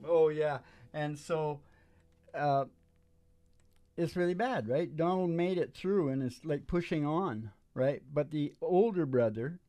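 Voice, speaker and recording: A middle-aged man hosting a show, telling opinionated, digressive stories casually and haltingly. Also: a little high, dark tone. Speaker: host of a music and storytelling show. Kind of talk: rambling casual storytelling between songs. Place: small dim stage with curtains. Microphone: microphone on a stand.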